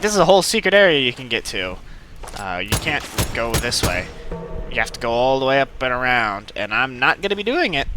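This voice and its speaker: A man's voice: medium pitch, bright, forceful tone. A man giving casual let's play commentary, self-deprecating and full of wry asides. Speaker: gaming video host. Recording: clear game noise behind voice. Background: game gunfire and ambience.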